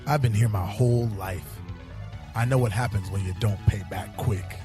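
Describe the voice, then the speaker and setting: A man playing a world-weary, cynical police detective in a fan-made sci-fi noir audio drama, terse and deadpan. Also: lowish voice, normal speed, music in the background, lightly acted. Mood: businesslike, easygoing. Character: world-weary hard-boiled male police detective in space.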